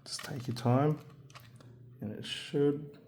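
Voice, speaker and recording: A man narrating a hands-on assembly tutorial in a casual, careful, unscripted way, pausing as he works. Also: fairly high pitch, steady tone, a little mellow. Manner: unscripted, careful, halting while working with hands. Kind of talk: casual step-by-step instructional narration. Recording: background noise with clicks and handling sounds.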